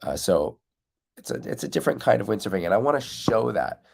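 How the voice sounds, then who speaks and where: A man's voice: lowish voice, very animated, fairly quick, creaky, a little mellow, husky. A man hosting a live windsurf coaching stream, chatting casually and answering viewers' comments while still congested from losing his voice. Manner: reads out viewer comments and answers them directly.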